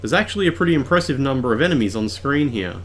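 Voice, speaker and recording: A man giving a measured, explanatory voice-over for a game video. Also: medium pitch, animated, slight background noise. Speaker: video essayist. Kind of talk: scripted game commentary voice-over.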